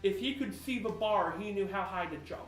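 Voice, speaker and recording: Guy with a lisp rambling on a podcast, echoey room, faint music under it. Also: high voice. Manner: rambling, jokey, quick tangents. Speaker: podcast co-host. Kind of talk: casual chat.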